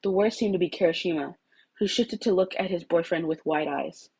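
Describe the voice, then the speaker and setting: Female story reader, lower register, husky tone, expressive narration. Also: fairly quick. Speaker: fan fiction reader.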